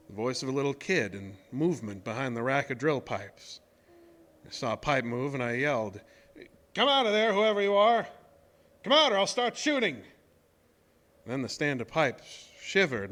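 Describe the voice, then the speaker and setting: An actor playing a rough-spoken oil rig worker telling his own eerie tale in a colloquial, old-time radio horror monologue. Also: medium pitch, fairly bright tone, a little hiss or hum. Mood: shouted threat, then frightened. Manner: colloquial, folksy, haunted storyteller.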